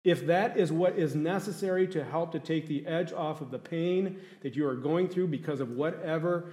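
A man's voice: a little high, moderate pace, dark tone. A male pastor preaching a sermon in a conversational way, often asking the congregation questions. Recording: echoey room.

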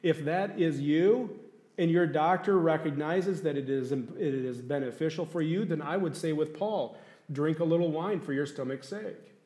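A man's voice: on the high side, moderate pace, mellow. A male pastor preaching a sermon in a conversational way, often asking the congregation questions. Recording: echoey room.

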